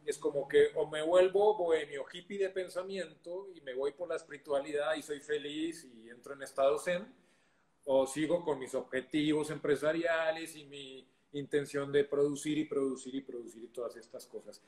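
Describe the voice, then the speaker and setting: Male host, fairly high voice, reflective, roomy echoey sound. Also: steady tone, moderate pace, darkish tone. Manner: thoughtful, poses long reflective questions. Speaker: interview host. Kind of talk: conversational interview. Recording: echoey room.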